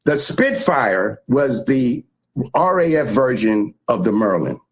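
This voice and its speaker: A man from the South Bronx, telling history in animated, emphatic casual chat as a show host. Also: mid-range voice, slow pace, low-quality recording. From South Bronx, New York.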